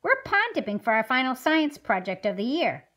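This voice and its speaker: A woman's voice: sits high, sing-song, moderate pace, a bit bright. A woman voicing an eager, friendly fifth-grade schoolgirl in a scripted children's puppet show, explaining things enthusiastically. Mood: helpful.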